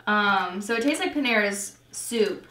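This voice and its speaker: A woman's voice: medium pitch, lively intonation, a little slow, pushed and bright. A woman in her twenties from St. Louis, chatting skeptically and casually while tasting food. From St. Louis, USA. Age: 20s. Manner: chatty, skeptical, heavy use of "like". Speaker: host's wife. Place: dark studio room, indoors. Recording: echoey room, mic at a distance.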